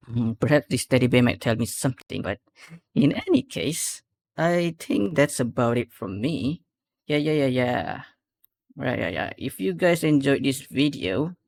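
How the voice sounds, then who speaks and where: A man's voice: mid-range voice, gravelly. A man giving casual reaction commentary as a video host, rambling and often correcting himself. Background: music.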